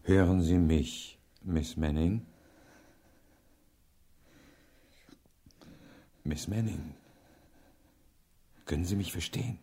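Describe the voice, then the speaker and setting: An actor playing a brilliant, pompous professor detective in a radio crime play, with ornate, pedantic phrasing and an impatient, commanding manner. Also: male voice, sits low, unhurried, dark tone, breathy edge. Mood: gentle, probing. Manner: pedantic, ornate, theatrical delivery.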